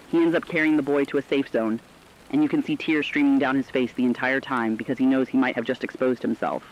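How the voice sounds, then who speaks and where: A man plays a compassionate news correspondent in an apocalypse radio drama. His voice is medium in pitch, with somber, earnest and emotive delivery of scripted commentary. The sound is slightly dull and lo-fi, with faint noise behind it. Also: lightly acted.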